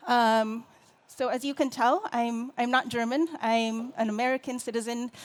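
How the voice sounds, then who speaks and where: A woman's voice: on the high side, little pitch movement, moderate pace. A woman from Taiwan giving an earnest, explanatory conference presentation, with frequent restarts and filler sounds. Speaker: conference speaker.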